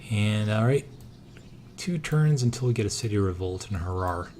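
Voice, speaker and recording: A man with a hoarse, somewhat low voice narrates his moves in a strategy game. He thinks aloud in an easygoing way, pausing often on filler sounds. A steady hiss of noise runs under the recording. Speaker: let's-play gamer. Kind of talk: casual strategy game commentary.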